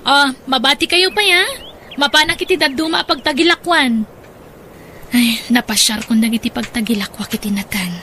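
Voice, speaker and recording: A woman's voice: sits high, moderate pace, pushed and bright, a little hiss or hum. A woman playing a hardworking market-vendor mother in a radio drama, with an Ilocano accent. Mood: friendly, bustling. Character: hardworking mother who sells onions and garlic.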